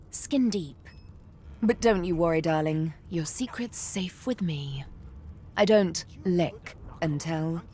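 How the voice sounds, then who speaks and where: Sly elf rogue lady for a video game, lowish voice, really slow, playful n flirty but menacing. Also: darkish tone, slight background noise. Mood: teasing, seductive. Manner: playful, flirtatious, theatrical, darkly threatening, savoring words. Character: sly elf woman rogue, former slave, teasing and menacing. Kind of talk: video game character acting.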